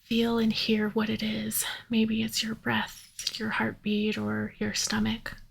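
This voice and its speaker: A woman leading a guided yoga nidra meditation in a soothing, unhurried manner, leaving long pauses. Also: fairly high pitch, monotone, gravelly, breathy edge, rough recording.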